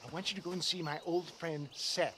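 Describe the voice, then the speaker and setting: An actor playing a flamboyant, scheming male snake-oil salesman in a video game, speaking in a theatrical, grandiloquent sales-pitch manner. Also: fairly even intonation, fairly quick, fairly bright tone, slight background noise, close to an everyday voice. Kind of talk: video game cutscene acting. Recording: game soundtrack music underneath.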